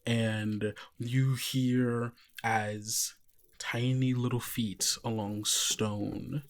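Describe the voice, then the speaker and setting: A man narrating a tabletop game as game master, with suspenseful, deliberate phrasing. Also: medium pitch.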